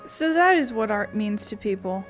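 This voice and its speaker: A woman's voice: mid-range voice, lively intonation, moderate pace, faint music behind. A woman playing a video game, reading dialogue aloud with casual asides.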